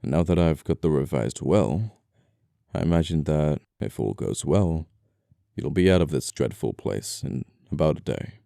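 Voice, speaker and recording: Casting: male actor for scoundrel murderer in ASMR roleplay, very deep and smooth, politely menacing, apologetic, flirtatious, quaint wording. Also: lively intonation, moderate pace, no room sound, studio quality, full character voice. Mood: apologetic, flirty. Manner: soft-spoken politeness, condescending, old-fashioned phrasing. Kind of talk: intimate ASMR audio roleplay acting.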